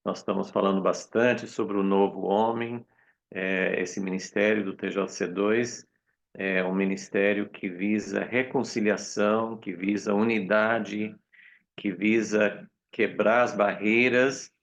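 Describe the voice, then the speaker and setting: A man hosting a prayer meeting, speaking slowly and deliberately with hesitant fillers. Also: fairly low pitch, little pitch movement, gravelly. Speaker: host of the meeting.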